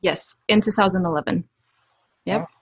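A woman's voice: on the low side, normal speed, creaky, slightly rough, dry recording. Ukrainian woman, chatty podcast guest. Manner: friendly, chatty, laughs easily. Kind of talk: casual interview chat.

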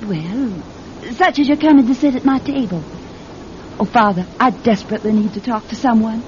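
An actress plays a naive, lovestruck young woman in an old radio play. She speaks in a fairly high voice, halting and earnestly pleading. The sound is muffled, over a low noisy rumble. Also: close to an everyday voice. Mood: anxious, pleading. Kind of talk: old-time radio drama acting.

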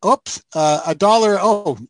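A man's voice: high-pitched, unhurried, slight background noise. An older man joining a show remotely, telling stories in a jokey, chuckling way. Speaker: guest. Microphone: remote call mic.

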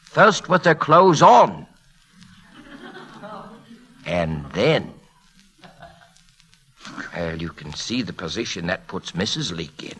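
An actor playing a deferential, knowing shopkeeper in a classic radio drama, confidential and gravely moralizing. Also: male voice, low-pitched, sing-song, takes it slowly, pauses often, a bit bright, full character voice. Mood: ominous, moralizing.

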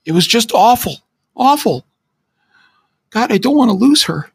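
Man voicing a crying fifteen-year-old boy, high, stammering, desperate. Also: unhurried, breathy edge, dry recording, heavily acted. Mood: panicked, tearful. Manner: stammering, pleading.

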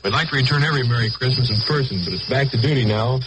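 A young man from Oregon speaks as a wartime lieutenant. His mid-range voice runs quickly through a scripted statement. The 1940s recording has a clear hiss. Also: mid-range voice. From Oregon, United States. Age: 20s. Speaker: bomber crew lieutenant. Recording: hissy, noisy 1940s radio recording.

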